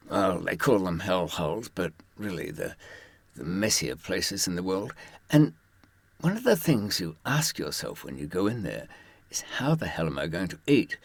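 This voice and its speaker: A man with a husky voice telling first-person stories and advice informally, with wry, understated humour. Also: low-pitched, no echo. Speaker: author and former prisoner giving advice.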